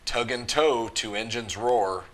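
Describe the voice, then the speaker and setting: A man's voice: mid-range voice, unhurried. A man reading a rhyming picture book aloud to children with an emphatic, rhythmic delivery. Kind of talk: animated children's story read-aloud.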